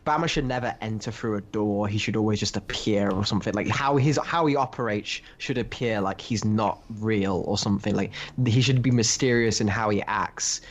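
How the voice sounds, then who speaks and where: A man co-hosting a casual comic book discussion, giving opinions in a halting, repetitive way. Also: on the low side, dull audio. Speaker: podcast co-host.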